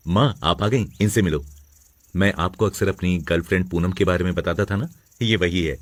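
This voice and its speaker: A man playing a scheming young husband in a family moral story, smooth-talking and casual. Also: sits low, normal speed. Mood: breezy, teasing. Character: scheming young husband feigning romance to provoke wife.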